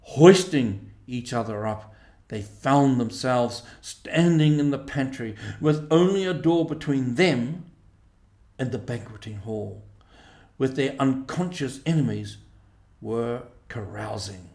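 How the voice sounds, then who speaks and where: Man, storybook narrator, slow measured pace, expressive reading, echoey room sound. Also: mid-range voice. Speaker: audiobook reader.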